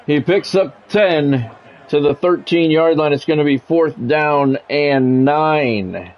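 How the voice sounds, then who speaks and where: Higher-pitched man calling football play-by-play. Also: slow pace, muffled recording. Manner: practised radio-style play-by-play, clipped phrases. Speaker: streamer doing play-by-play of a football video game. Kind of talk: sports commentary mixed with casual chat.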